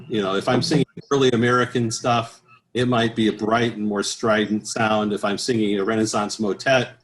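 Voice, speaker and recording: A man speaking as an interview guest, explaining things conversationally as a choral professional, with halting, repeated phrases. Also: on the low side, a bit bright. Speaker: interview guest, professional bass singer and choir director.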